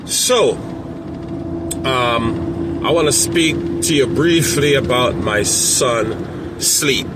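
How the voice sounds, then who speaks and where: A man talking casually to camera as a parent coach, rambling with many fillers and restarts, with a strong, loud voice. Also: medium pitch, very slow, long pauses, very noisy.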